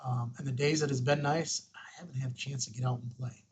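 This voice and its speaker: A man with a husky, rough-edged voice presenting a casual step-by-step tutorial, informal and thinking aloud as he goes. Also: medium pitch, fairly even intonation, brisk, darkish tone. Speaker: host, ham radio tutorial presenter.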